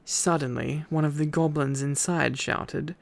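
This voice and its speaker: A man's voice: a little high, fairly even intonation, measured pace, creaky, no room sound. A sleepy man doing an intimate ASMR boyfriend-style reading, tired and affectionate, with playful asides to his listener. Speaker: ASMR boyfriend roleplay storyteller.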